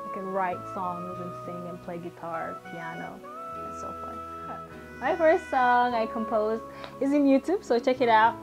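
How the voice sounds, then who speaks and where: A woman's voice: mid-range voice, poor audio. A young woman from South Sudan, a musician, telling her story in an earnest, chatty way with fillers and restarts. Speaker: guest musician. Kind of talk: casual personal storytelling.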